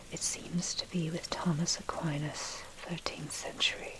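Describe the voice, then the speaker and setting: A woman narrates in a breathy, unvoiced whisper, giving a measured, scholarly ASMR reading of a dark history article. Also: low-pitched, poor audio. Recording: heavy background noise, rain and thunder. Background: rain, thunder, clicks.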